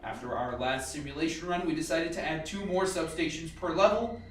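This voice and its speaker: Guy acting as calm scientist explaining lab procedures, radio play, far from the mic, really echoey room. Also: fairly high pitch, a little fast, lightly acted. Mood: matter-of-fact briefing. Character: calm, instructive male scientist leading a secret lab team. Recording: echoey room, distant mic, faint music.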